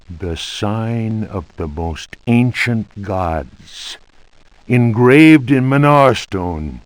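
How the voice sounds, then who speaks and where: A stern churchman reads from an old book for a video game. He is solemn and ominous, very slow, with dramatic rises and falls in tone. The sound is dry. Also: fairly low pitch, dry recording. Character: stern male churchman warning of devilish cults.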